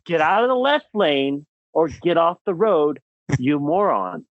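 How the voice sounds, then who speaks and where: A man's voice: on the high side, big pitch swings, measured pace, dry recording. Young American man, podcast co-host, emphatic and exasperated comic ranter, swooping intonation, dry dull recording. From United States. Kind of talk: comedic rant on a podcast.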